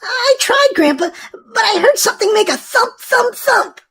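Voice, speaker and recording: Storybook role: anxious, sleepless young kid played by a woman, very high-pitched, pleading, exclamatory. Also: expressive, measured pace, fairly bright tone, exaggerated voice. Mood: pleading, upset. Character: frightened young child who can't sleep, anxious and loud. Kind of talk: children's storybook read-aloud.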